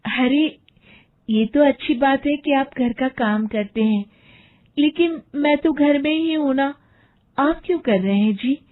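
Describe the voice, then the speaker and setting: Woman playing a gentle, high-voiced young bride. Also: unhurried, phone-quality sound. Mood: surprised, kindly. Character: polite, caring educated young bride.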